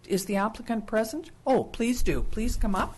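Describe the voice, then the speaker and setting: A woman's voice: on the high side, darkish tone, slight background noise. A woman chairing a town council public hearing, politely guiding speakers to the microphone. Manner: polite, unhurried, with fillers. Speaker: meeting chair. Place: council chamber.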